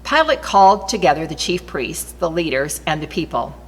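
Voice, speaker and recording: A woman reading aloud from the Bible as an expressive scripture narrator. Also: low-pitched, moderate pace, husky. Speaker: scripture reader. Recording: long reverberant hall echo.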